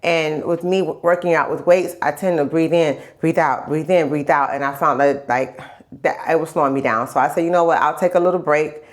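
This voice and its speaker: Woman vlogging, kinda low voice, stuffed up from a cold, candid rambly chat about herself. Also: fairly even intonation, a little fast. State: stuffy, getting over a cold. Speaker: vlogger sharing health journey. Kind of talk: casual personal video diary chat.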